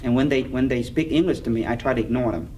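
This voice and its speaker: A middle-aged man with a Vietnamese accent, speaking earnestly in an oral history interview, halting, with many fillers. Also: mid-range voice, mellow. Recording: distant mic with clear background noise.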